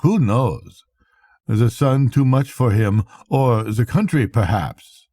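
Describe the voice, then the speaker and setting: Storybook role: bitter, watchful Swedish captain, voiced by a male reader, precise delivery, very dark tone, husky edge. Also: mid-range voice, expressive, no room sound, voice pushed up. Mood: bitter, wry. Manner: precise, deliberate speech. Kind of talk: storybook character dialogue.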